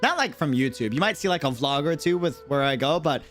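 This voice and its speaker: A man's voice: fairly high pitch, lively intonation, a little fast, a bit bright, soft background music. An American man chatting casually and reacting in slang as he streams a game. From United States. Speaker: live streamer. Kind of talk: casual game livestream commentary.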